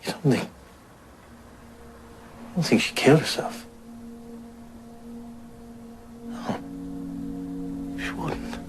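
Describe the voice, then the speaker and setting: A man's voice: fairly high pitch, big pitch swings, takes it slowly, a little hiss or hum. An actor playing a working man in his late thirties, in an audio drama. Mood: worried, subdued. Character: thirty-eight-year-old Irish husband, working painter decorator.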